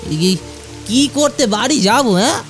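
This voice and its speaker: A man's voice: very high voice, animated, normal speed, soft background music. A man voicing a poor, self-pitying fisherman in a fairy-tale cartoon, lamenting and hesitant. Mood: startled, bewildered.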